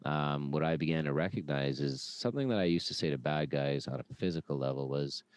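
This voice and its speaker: Super deep guy telling his story on a podcast, loud and earnest, smooth voice. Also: a little fast, no room sound. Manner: earnest, with frequent "uh" and "um". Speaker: podcast guest; former police officer. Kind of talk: conversational personal storytelling.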